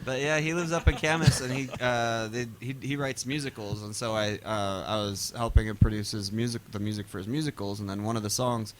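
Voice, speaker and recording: A man's voice: mid-range voice, fairly even intonation, a little hiss or hum. A man chatting casually as a musician guest, telling rambling, slangy anecdotes that he often interrupts himself. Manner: halting, self-interrupting, slangy.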